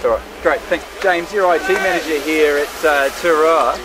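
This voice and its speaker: A man's voice: fairly high pitch, brisk. New Zealand man interviewing at a ski field. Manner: chatty, jokey. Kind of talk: on-location interview. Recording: outdoor noise behind the voice.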